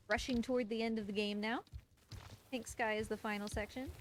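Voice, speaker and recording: A woman giving casual, chatty live commentary as she speedruns a video game, explaining things with a playful touch. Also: a little high. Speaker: speedrunner commentating own playthrough. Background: game music behind the voice.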